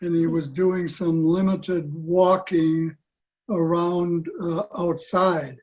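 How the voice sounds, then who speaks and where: An older man with a high voice for a man speaks slowly and haltingly. He sounds like a club member giving an update on a home video call. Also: little pitch movement, a little mellow, lo-fi sound. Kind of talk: informal meeting talk.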